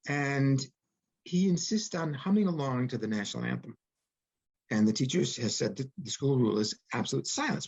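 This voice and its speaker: Husky man explaining in an interview, dull audio. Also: medium pitch, darkish tone. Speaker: author and audio producer being interviewed. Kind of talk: interview conversation.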